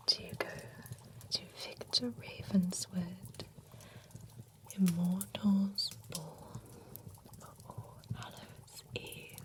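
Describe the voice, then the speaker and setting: A woman voicing a genteel female vampire spa attendant in an ASMR roleplay, whispering close to the mic in a gently chatty, courteous way. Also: medium pitch, slight background noise.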